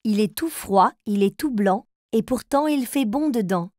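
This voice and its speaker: A woman's voice: a little high, lively intonation, unhurried, darkish tone, no room sound, clean studio sound. A woman playing a patient, encouraging mother figure who tells riddles to young children in a cartoon. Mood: playful, teaching.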